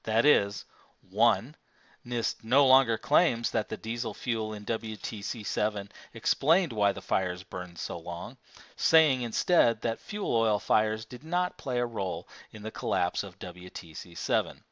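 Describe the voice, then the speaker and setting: Low-voiced man reading nonfiction, dull dry sound. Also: expressive, unhurried, a bit bright, dry recording. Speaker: audiobook reader. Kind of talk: scripted nonfiction narration, reading aloud. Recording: dull, lo-fi, dry close recording.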